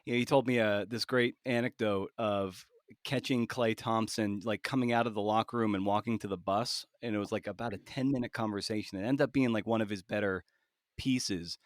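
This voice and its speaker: A man hosting a conversational podcast interview, asking halting, rambling questions. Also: on the low side, fairly even intonation, no room sound, studio quality.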